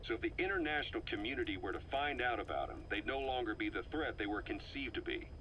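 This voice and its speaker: An actor playing a shrewd, intense middle-aged intelligence officer in a video game, delivering an analytical, emphatic, deliberate briefing monologue. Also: male voice, mid-range voice, sing-song, pushed and bright, rough recording. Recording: faint noise, cassette-style recording.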